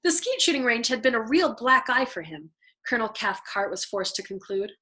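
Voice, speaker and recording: Female narrator for fiction read-aloud: expressive, very lively intonation, bright forceful tone. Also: a little high, brisk. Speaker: storyteller, show host. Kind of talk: expressive audiobook-style narration.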